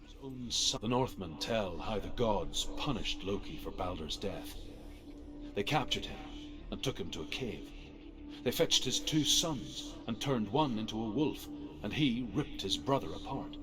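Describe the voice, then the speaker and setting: Solemn male storyteller, low pitch, grave, measured, mythic video game narration, music underneath. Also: lively intonation, rough recording. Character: solemn male storyteller of old Norse myths.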